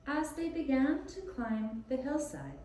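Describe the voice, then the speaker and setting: Woman storyteller for small children. Soft mellow tone, unhurried delivery, very roomy recording. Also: sits high, very animated. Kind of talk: scripted storytelling for young children. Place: echoey room, puppet show performance. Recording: roomy echoing sound with faint hiss.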